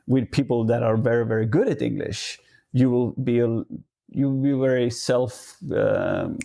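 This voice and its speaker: Gravelly Swedish-accented man, searching for words. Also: mid-range voice, mellow. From Sweden. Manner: halting, searching for words, many restarts. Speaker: podcast guest. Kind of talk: casual podcast conversation.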